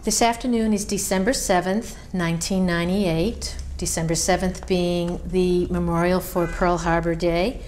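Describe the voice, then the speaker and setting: A woman interviewing a veteran for an oral history project, asking polite, measured questions. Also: on the low side, fairly even intonation, a little mellow, a little hiss or hum. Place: library room.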